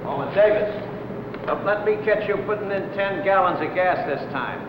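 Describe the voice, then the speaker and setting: A man's voice: high-pitched, close to an everyday voice. Man playing bossy garage boss, echoing hall. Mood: stern. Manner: brisk, commanding. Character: bossy, penny-pinching male garage boss. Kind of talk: 1950 film acting. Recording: echoey, dull, noisy old film soundtrack.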